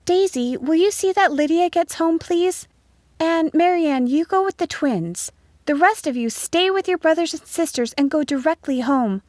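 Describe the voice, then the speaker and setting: Woman acting kindly country schoolteacher, brisk, lilting instructions, high pitch, storybook, dry recording. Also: expressive, a little mellow, no echo. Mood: kindly, firm. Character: kindly, brisk young female country schoolteacher addressing pupils.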